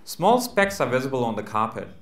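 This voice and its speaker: A man with a mid-pitched voice reads literary fiction aloud to a live gathering. The recording is clearly roomy, with the echo of the venue around his narration. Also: big pitch swings, fairly quick. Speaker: reader at a book launch. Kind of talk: live literary short story reading. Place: live book launch venue.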